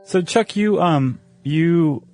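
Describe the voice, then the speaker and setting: A man's voice: on the high side, slow, darkish tone, soft background music. An American man talking casually as a podcast co-host. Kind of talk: casual conversational podcast talk.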